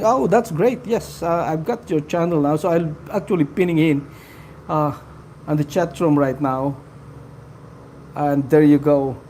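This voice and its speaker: Mellow man chatting on a live stream. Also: on the high side, a little hiss or hum. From Philippines. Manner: halting, full of fillers, friendly to viewers. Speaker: livestream host. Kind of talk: casual live-stream chat with viewers.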